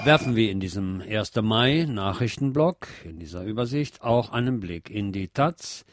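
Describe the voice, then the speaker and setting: A man's voice: on the low side, animated, normal speed, very noisy. A man presenting a radio news segment, reading reports and press statements from a script.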